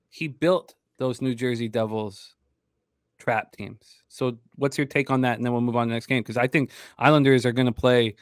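A man's voice: mid-range voice, clean tone, no room sound. A man hosting a sports betting show, talking casually into a close desk microphone. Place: indoor studio setup at a desk. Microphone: large desk microphone close to the mouth.

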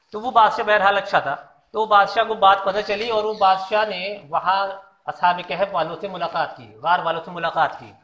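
A man's voice: sits high, pushed and bright. A man giving an informal religious talk, explaining a Quran story and asking rhetorical questions. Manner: explanatory, repeats key lessons, asks rhetorical questions. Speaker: Islamic preacher. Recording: echoey, dull lo-fi room sound.

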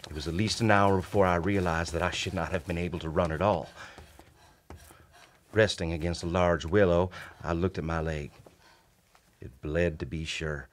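An actor playing a haunted, wounded Civil War soldier who narrates a radio drama in a grave, formal, measured manner. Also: male voice, sits low. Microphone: shared vintage-style studio microphone.

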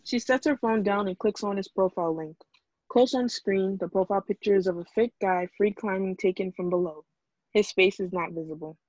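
A woman's voice: medium pitch, fairly quick, a little mellow, dry recording. Woman narrating a script, dull lo-fi audio. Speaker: reader of stage directions. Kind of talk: scripted narration at a table read. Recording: dull lo-fi video call audio.

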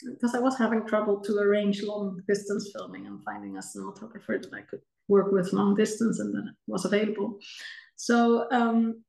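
A middle-aged woman speaking at an even middle pitch over a video call, measured and explanatory as she walks through her filmmaking. The sound is roomy, with the echo of a home room around her. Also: gravelly. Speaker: film director.

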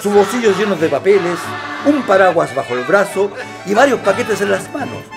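An actor playing the wry storyteller who narrates a domestic comedy as a scripted radio drama. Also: male voice, high-pitched, expressive, normal speed, vocal fry. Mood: lively, theatrical. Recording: guitar music behind the voice.

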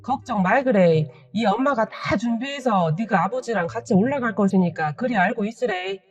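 A woman doing a gruff mother-in-law. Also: on the high side, expressive, normal speed, lo-fi sound. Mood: insistent. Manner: curt, scolding, short commands. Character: blunt, scolding older mother-in-law, gruff but caring.

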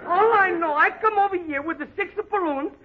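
An actor playing an excitable, protesting balloon seller with a foreign accent and comically broken English in 1940s radio comedy. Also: male voice, very high voice, brisk, crowd noise. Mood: indignant. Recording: muffled, very noisy 1940 radio recording.

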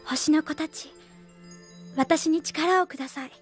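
A woman voicing a bright, impulsive teenage princess in an anime cartoon, girlish, eager and informal. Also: high voice, a little slow, faint music behind. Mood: dreamy, earnest. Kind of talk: Japanese anime acting.

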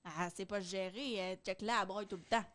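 A woman's voice: medium pitch, steady tone, brisk, a bit bright. A young woman with a Quebec French accent, speaking emphatically and with strong opinions in a casual group discussion on a close studio mic. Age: young adult. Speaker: podcast panelist. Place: small podcast studio. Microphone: close studio microphone on boom arm.

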